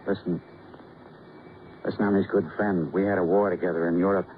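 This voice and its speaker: An actor playing an anxious, loyal war veteran in an old radio crime drama, talking in halting, repeated phrases. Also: male voice, deep voice, little pitch movement, lightly acted. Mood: anxious, agitated. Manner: halting, repetitive, agitated. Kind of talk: 1940s-50s radio acting. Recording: muffled, faintly noisy old radio broadcast.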